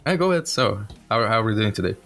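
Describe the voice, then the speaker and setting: A man's voice: lowish voice, lively intonation, fast talker, fairly bright tone. A man hosting a podcast, chatty and loose, correcting himself and filling gaps with little asides. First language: not English. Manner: chatty, self-correcting, filler-heavy. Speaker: podcast host. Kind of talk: casual interview chat.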